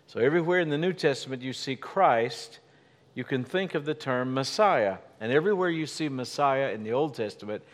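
Male pastor, sermon, folksy conversational asides, builds to emphatic points, long hall reverb. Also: medium pitch.

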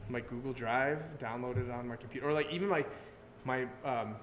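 A man in his thirties, a guest artist, talking loosely about his work and sports, heavy on filler words and quick laughs. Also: mid-range voice. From Connecticut. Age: 30s. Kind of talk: casual podcast chat. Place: brewery taproom. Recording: roomy live venue with loud background noise.

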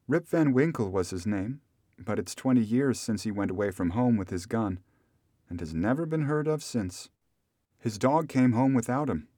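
A man voicing a gentle, sorrowful young village mother in a story, speaking softly. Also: mid-range voice, no room sound, clean studio sound, pitched above the performer's own voice, natural voice.

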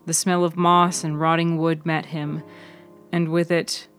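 A woman reading scripted literary narration in a measured, deliberate manner, as narrator of a serial fiction podcast. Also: sits low, level tone, fairly bright tone, faint music behind.

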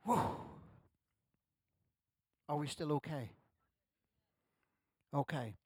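A man's voice: fairly high pitch, animated, extremely slow, a little mellow, husky, no echo, studio quality. A man, preaching a sermon at a church service in a conversational way with self-deprecating asides. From Ireland. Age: 60s. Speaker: pastor.

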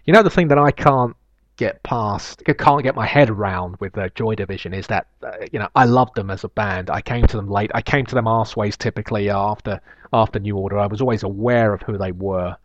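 A man hosting his own podcast alone, talking in a low voice with a slight lisp. He muses at length, full of fillers and restarts. The recording is dry and slightly dull. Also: fairly quick, no room sound. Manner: reflective, rambling, with frequent fillers and restarts. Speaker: podcast host. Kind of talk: solo podcast monologue, reading listener emails.

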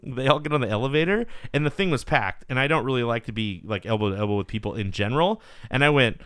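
A man's voice: mid-range voice, lively intonation, fast, fairly bright tone, smooth, dry recording, studio quality. A man hosting a podcast, chatting casually and telling long rambling stories with plenty of swearing. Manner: rambling, profane storytelling. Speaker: podcast host. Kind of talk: casual chat. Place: San Diego.

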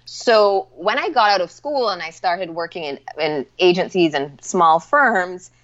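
A woman's voice: lowish voice, lively intonation, measured pace, fairly bright tone, lo-fi sound. A woman in her forties from Barbados, a lettering artist chatting casually as a guest and often saying "you know.". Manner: chatty, with frequent "you know" fillers and light laughs. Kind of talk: casual interview chat.

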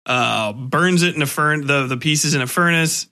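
A man hosting a casual interview, talking in an animated, freely swearing way and acting out little sound effects. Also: on the high side, normal speed. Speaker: podcast host interviewing a guest.

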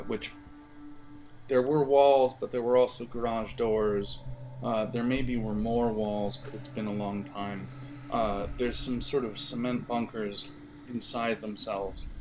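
A man running a tabletop game as game master, improvising scene descriptions in a hesitant, halting way. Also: lowish voice.

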